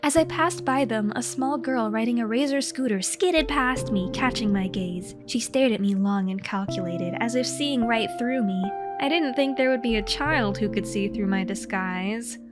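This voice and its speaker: A woman's voice: on the high side, moderate pace, few pauses. A woman narrating a scripted comic diary in a theatrical, mock-serious and earnest way. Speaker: storyteller, content creator. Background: music.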